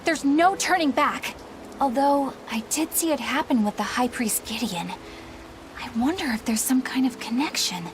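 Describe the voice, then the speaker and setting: A woman's voice: high-pitched, animated, moderate pace, noisy background. A woman playing a proper, dutiful and stubborn young female warrior in a video game, with formal, earnest speech. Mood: surprised, urgent. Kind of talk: English video game voice acting.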